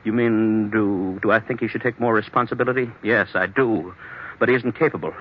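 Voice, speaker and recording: A man's voice: on the low side, steady tone, fairly quick, lightly acted. An actor playing an anxious, troubled businessman in a 1940s radio drama, speaking hesitantly and earnestly on a dull old recording. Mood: guarded. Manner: hesitant, earnest, agitated when challenged. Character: troubled, anxious businessman suffering blackouts, confiding in a doctor.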